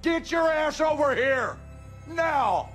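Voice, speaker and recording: A man's voice: very high-pitched, expressive, slow pace, fairly bright tone, faint music behind, full character voice. An actor playing an abusive, bitter Southern husband and father, coarse and menacing, in a dramatic video game, with a Southern US accent. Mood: furious contempt. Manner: menacing, coarse, folksy cursing.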